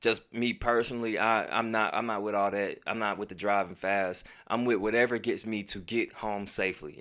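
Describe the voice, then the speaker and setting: An American man telling a casual personal story in heavy slang and profanity, acting out bits of dialogue as he goes. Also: fairly low pitch. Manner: profane, slangy, animated retelling. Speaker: storytelling vlogger.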